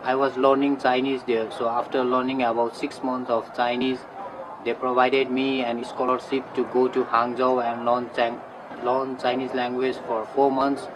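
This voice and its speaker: A man from Nepal, answering interview questions in a flat, plain way. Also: medium pitch, moderate pace. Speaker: student and intern. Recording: outdoors with loud street noise.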